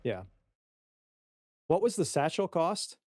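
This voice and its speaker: A man's voice: a little high, very animated, slow, a little mellow, no room sound. Tentative man in a dry-sounding space. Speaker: tabletop game player. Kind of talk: casual chat.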